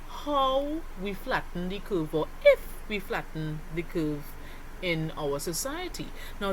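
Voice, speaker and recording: A Trinidadian woman delivers an explanatory lesson in a slightly low voice that rises and falls animatedly. She takes her time and often puts questions to the listener. Clear background noise runs under the recording. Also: fairly bright tone. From Trinidad and Tobago. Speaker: sociology teacher. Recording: clearly noisy background ambience.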